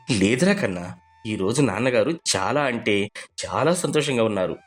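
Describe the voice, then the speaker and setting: A man playing an earnest, emotional husband and father in an animated moral story. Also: mid-range voice, measured pace, natural voice. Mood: affectionate.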